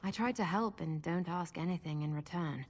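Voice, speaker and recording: An actress playing a kind masked immortal woman with lost memories, speaking in a gentle, reflective way. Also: fairly low pitch, moderate pace, slight background noise, lightly acted.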